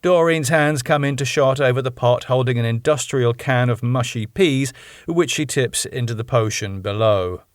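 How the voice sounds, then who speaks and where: British man, script reading, measured, dry close sound. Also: mid-range voice, no room sound. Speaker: writer reading his script. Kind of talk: reading stage directions.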